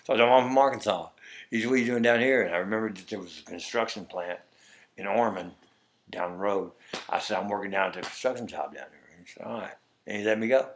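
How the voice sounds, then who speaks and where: A man's voice: fairly low pitch, fast talker. A middle-aged man with a Texas accent, a guest telling a long story and acting out what others said. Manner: rambling, acts out quoted dialogue. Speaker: interview guest. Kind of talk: conversational storytelling.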